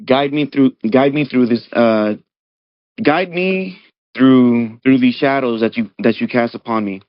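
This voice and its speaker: A man's voice: mid-range voice, a little slow, dry recording. A man playing a tabletop role-playing game, answering casually in brief, understated phrases. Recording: dull lo-fi audio.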